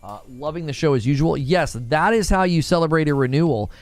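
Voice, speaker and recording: A man's voice: a little high, brisk, no echo. A young man hosting a live stream, chatting loosely about games and reading chat, with many fillers and restarts. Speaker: streamer host.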